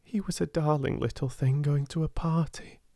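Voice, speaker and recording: A woman's voice: low voice, steady tone, moderate pace, warm tone, no room sound, clean studio sound, pitched above the performer's own voice. A woman voicing a proud, half-starved young girl who speaks with fierce passion. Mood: wistful. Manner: passionate, intense.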